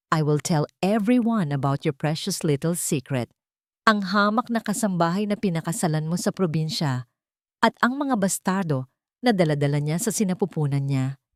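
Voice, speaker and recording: A woman voicing a cold, scheming female blackmailer in an audio drama, speaking with deliberate menace. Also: medium pitch, a little mellow, no echo, clean studio sound, close to an everyday voice.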